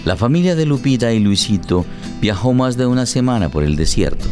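A man's voice: mid-range voice, animated, a little fast. A man narrating a radio play in a dramatic, scripted style. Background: music.

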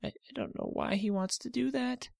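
Squeaky, whiny little girl, easily scared, put on by a man in a storybook reading, with a gravelly edge. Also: moderate pace, darkish tone, no room sound, clean studio sound, full character voice. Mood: pleading. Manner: high whiny childish voice. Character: small scared young girl.

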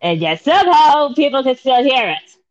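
A woman's voice: sits high, big pitch swings, moderate pace. A woman co-hosting a casual chat podcast, trading crude banter with lots of laughing. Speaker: podcast co-host. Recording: dull lo-fi recording.

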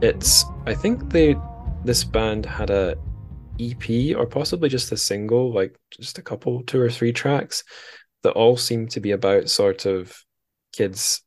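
A man's voice: mid-range voice, measured pace, faint music behind. A man hosting a podcast in casual conversation, informal and a little self-deprecating. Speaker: podcast host.

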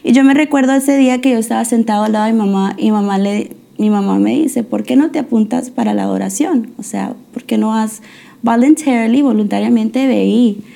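A woman's voice: a little high, brisk, gravelly, mellow. A young woman from the United States, a guest on an interview show, telling her faith story in a hesitant way with many fillers and restarts. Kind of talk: testimony interview.

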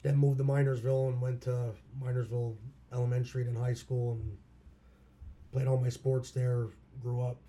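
A hoarse man from Pennsylvania, a local musician, telling stories about his past in a plain-spoken way. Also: mid-range voice, flat delivery, normal speed, warm tone. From Schuylkill County, Pennsylvania. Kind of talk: casual interview chat.

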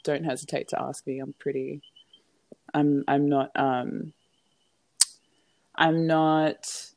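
Low-voiced easygoing Australian woman. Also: level tone, takes it slowly, a little mellow, no room sound, poor audio. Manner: easygoing, laughs often, hesitant fillers. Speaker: podcast guest. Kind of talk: casual interview chat.

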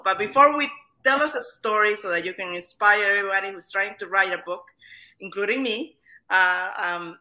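A woman's voice: mid-range voice, pushed and bright, poor audio. A woman from El Salvador hosting an interview, introducing and encouraging her guest. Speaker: interview host. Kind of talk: hosted interview.

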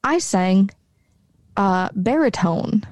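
A woman co-hosting a podcast with a voice that sits low for a woman, talking at an easy middle pitch. She chats in a relaxed, friendly way. The sound is dry and close, with no room around it. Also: takes it slowly, no room sound. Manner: relaxed, chatty, laughs easily. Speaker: podcast co-host. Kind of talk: casual chat. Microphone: own microphone with bass roll-off.

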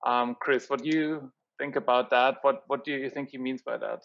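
A man who is not a native English speaker leads the talk, soft-spoken and measured, pausing with fillers as he summarizes and asks questions. His voice sits mid-range over dull, lo-fi audio. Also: medium pitch, steady tone. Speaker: host. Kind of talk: casual discussion.

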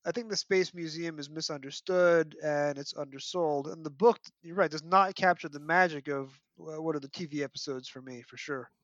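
A man's voice: fairly high pitch, moderate pace, few pauses, a bit bright, no room sound, dull audio. A man hosting a casual fan discussion, speaking in an emphatic, opinionated way. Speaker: podcast host.

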